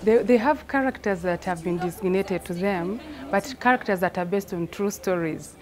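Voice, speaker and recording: A woman's voice: medium pitch, moderate pace, a little hiss or hum. A woman from Rwanda, a theatre director explaining her work reflectively, repeating phrases for emphasis.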